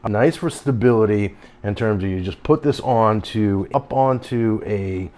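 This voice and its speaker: A middle-aged man casually reviewing a product at home and on his deck, rambling, often breaking off mid-sentence and getting excited about what he finds. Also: on the low side, unhurried. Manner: rambling, self-interrupting, easily excited. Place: home, later outdoors on a deck.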